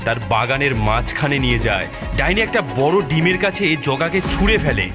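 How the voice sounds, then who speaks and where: A man's voice: medium pitch, very animated, fairly quick. A man giving dramatic scripted narration for a horror cartoon story. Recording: loud background music.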